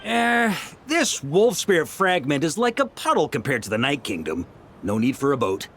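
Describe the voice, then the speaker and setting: An actor playing a composed, scholarly young male knight in a game, calmly explaining things. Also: fairly high pitch, normal speed, slight background noise. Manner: calm, articulate explainer. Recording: clear game music behind.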